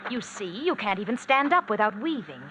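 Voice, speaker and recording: An actress playing a cold, teasing wife with murder in mind, coaxing and mocking in old-time radio mystery style. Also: female voice, mid-range voice, sing-song, fairly quick, close to an everyday voice. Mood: coldly gloating. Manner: mocking, coaxing, calls husband dear and darling. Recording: vintage radio drama with background noise.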